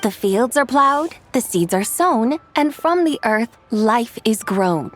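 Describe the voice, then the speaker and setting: A woman's voice: fairly high pitch, expressive, soft background music. A woman voicing a regal, youthful-seeming ancient immortal who speaks formally and haughtily in a video game. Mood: solemn. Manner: formal, haughty, measured recitation. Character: lofty ancient female immortal in youthful form, curious, regal. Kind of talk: video game cutscene acting.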